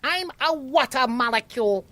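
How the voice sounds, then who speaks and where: A woman's voice: high-pitched, expressive, normal speed, pushed and bright, no room sound. A woman playing a plucky, chatty water molecule living inside a snowman, matter-of-fact and pushy, in a children's audio drama.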